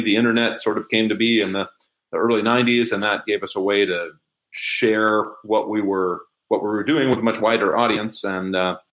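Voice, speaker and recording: A man's voice: lowish voice, fairly bright tone, rough recording. A middle-aged man speaking as an interview guest, telling a story casually.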